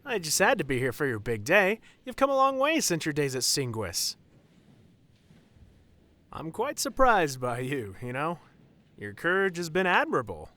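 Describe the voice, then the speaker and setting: A man's voice: on the high side, wildly swooping pitch, normal speed, sharp tone, no room sound, exaggerated voice. A man playing a proud, playful wedding officiant with a theatrical, formal manner in an audio roleplay drama. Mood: proud, teasing.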